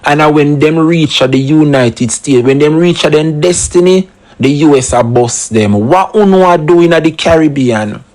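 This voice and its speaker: A man's voice: on the high side, moderate pace, a little mellow. A man giving opinionated solo commentary, full of rhetorical questions and emphatic asides. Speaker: commentary channel host.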